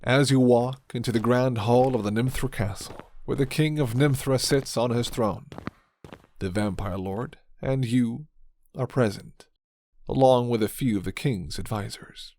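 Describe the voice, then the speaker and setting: A man's voice: mid-range voice, measured pace, darkish tone, no room sound. A man reading scripted story narration as the narrator of a fantasy tale.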